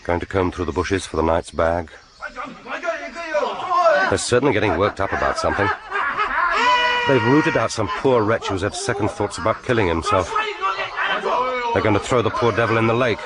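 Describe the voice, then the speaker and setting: An actor in a radio drama playing a grim, vengeful spy in brooding, sardonic inner monologue. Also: male voice, on the low side, normal speed, long pauses, lightly acted. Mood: tense, bitter. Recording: noisy radio drama recording.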